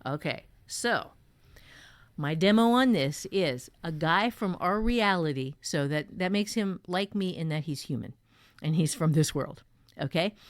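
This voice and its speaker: A woman's voice: on the low side, no echo. A middle-aged woman co-hosting a writing workshop, chatting casually and talking through story ideas as an author and teacher.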